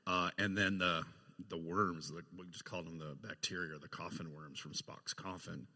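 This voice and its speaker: A man with a deep but bright, punchy voice shares anecdotes casually as a panel guest. His words ring out in a large echoey hall. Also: fairly even intonation, moderate pace. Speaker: panel guest, film effects artist.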